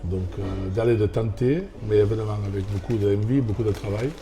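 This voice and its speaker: A man from Australia with an Australian accent, a restaurant owner and former rugby player, answering interview questions in halting French with frequent restarts. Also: on the low side, steady tone.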